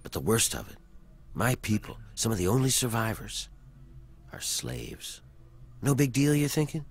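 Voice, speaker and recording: A man's voice: mid-range voice, big pitch swings, normal speed, husky. An actor playing a weary male fugitive in a video game who asks an outsider to help free his enslaved people, speaking urgently and persuasively with a wry edge. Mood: earnest. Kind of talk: video game character dialogue. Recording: game audio under faint noise.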